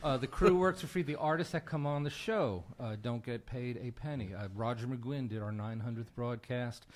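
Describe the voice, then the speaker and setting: A middle-aged American man talking conversationally as an interview guest, reflective and pausing as he goes. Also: medium pitch. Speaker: guest singer-songwriter and radio show host.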